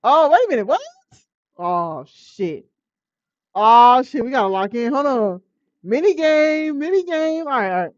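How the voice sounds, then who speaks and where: An American woman streaming a visual novel, switching between dramatic reading and slangy, profane, expressive reactions. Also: high voice, measured pace, dry recording. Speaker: gaming streamer. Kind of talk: animated let's play commentary and dramatic read-aloud of a visual novel. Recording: slightly dull audio with music behind. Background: game music.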